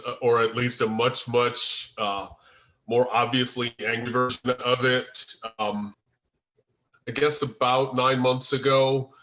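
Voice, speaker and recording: A man's voice: mid-range voice, pushed and bright, low-quality recording. An American man who speaks hesitantly in an informal video-call discussion, often searching for his words as he talks about his work as a writer. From United States. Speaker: screenwriter and first-time filmmaker. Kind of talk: informal discussion after a table read. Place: online group video call.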